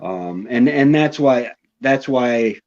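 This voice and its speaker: A man's voice: medium pitch, animated, slow pace, a little mellow, lo-fi sound. A man hosting a homestead live stream in casual chat, easygoing and repeating words as he thinks aloud.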